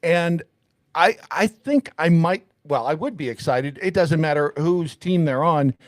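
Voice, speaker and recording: A man with a somewhat high voice co-hosts a casual podcast. He is opinionated and swears freely as he banters. The sound is dry, with hardly any room in it. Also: animated, unhurried, dry recording. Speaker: podcast co-host.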